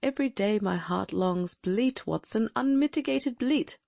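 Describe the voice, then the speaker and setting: A woman performs a confident Victorian detective who thinks aloud in crisp, exact sentences. The voice moves up and down a lot, with a gravelly, slightly husky grain. The recording is very dry and close. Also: fairly high pitch, animated, measured pace, a little mellow, dry recording. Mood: musing. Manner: crisp, reasoning aloud, precise diction. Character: brilliant Victorian gentleman detective, male, sharp and confident. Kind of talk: audiobook dramatic reading.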